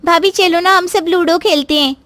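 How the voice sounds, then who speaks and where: Woman doing a high-pitched, childlike naive little sister. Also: steady tone, moderate pace, a bit bright. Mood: cheerful, eager. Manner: childish, simple, insistent. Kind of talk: Hindi moral story cartoon voice-over.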